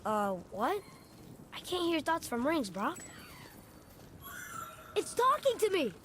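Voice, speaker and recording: A man's voice: very high-pitched, huge pitch swings, takes it slowly, pushed and bright, exaggerated voice. A young male actor playing an earnest, curious, kind-hearted boy in a video game, plainspoken. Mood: excited. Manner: plainspoken, inquisitive. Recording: ambient engine-like noise.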